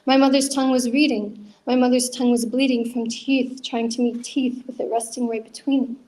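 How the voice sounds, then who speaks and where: A young woman reads her own poetry aloud at an open mic night. Her voice sits a little high, and her delivery is earnest and measured. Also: fairly even intonation, mellow, poor audio. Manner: earnest, measured reading with chatty asides. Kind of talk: spoken word poetry reading.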